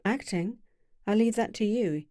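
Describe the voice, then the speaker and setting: A woman reading the part of a cold, bitter young gentleman in an audiobook. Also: medium pitch, unhurried, no room sound, clean studio sound, voice pushed up, close to an everyday voice. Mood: scornful. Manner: bitter, scornful.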